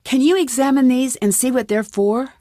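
A young woman playing an inquisitive, earnest young female detective in a video game, speaking in a clear, measured way. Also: high voice, warm tone, dry recording, clean studio sound, close to an everyday voice. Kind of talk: scripted video game voice acting.

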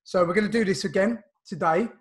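A man in his thirties hosting a casual podcast over a video call from home, his voice a bit croaky from illness, chatty and loose with lots of fillers. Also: sits high, fairly quick. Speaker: podcast host. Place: home room, indoors. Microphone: video call webcam.

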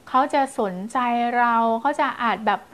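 A woman in her thirties, a child development teacher, giving parents advice to camera and now and then acting out lively story voices to show how. She speaks on a lapel mic in a small indoor studio. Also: moderate pace. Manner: animated, acts out scary and playful story voices to demonstrate. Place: small indoor studio in front of blue backdrop.

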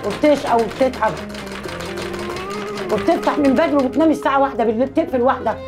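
A woman's voice: high-pitched, racing, over loud music. A woman with an Egyptian accent giving a casual spoken testimonial about someone she knows. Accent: Egyptian Arabic.

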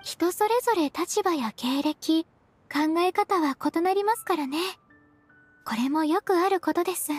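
A polite, considerate young game heroine with a very high, soft, girlish voice. She speaks carefully and soothingly over faint music. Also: measured pace, no room sound. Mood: gently advising. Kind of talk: game voice acting.

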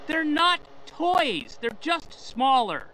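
An actor voicing an excitable, pompous and fussy nerdy toy-shop owner in a video game, petty and grandiose. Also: male voice, very high-pitched, slow pace, a little hiss or hum, heavily acted, low-quality recording. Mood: frantic, battle cries.

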